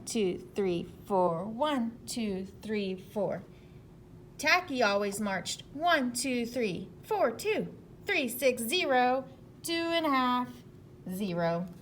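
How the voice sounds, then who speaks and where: A woman's voice: on the high side, a little hiss or hum. A woman teacher reading a storybook aloud to children and talking to them expressively.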